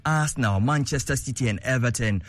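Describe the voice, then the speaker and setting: A man presenting sports news in a steady, formal, scripted delivery. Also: medium pitch, fairly quick, dry recording.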